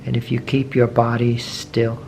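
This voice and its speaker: Slow, gravelly man guiding meditation. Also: medium pitch, monotone. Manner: gentle, measured, with long pauses between short phrases. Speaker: meditation teacher. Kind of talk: guided meditation. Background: soft meditation music.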